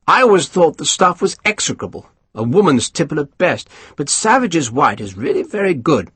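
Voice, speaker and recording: A man's voice: fairly high pitch, very animated, normal speed, fairly bright tone, husky, no room sound, voice pushed up, exaggerated voice. A man voicing a pompous, scheming British colonel who talks down to others in a haughty, snappish way, reading for an audiobook. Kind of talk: audiobook character voice.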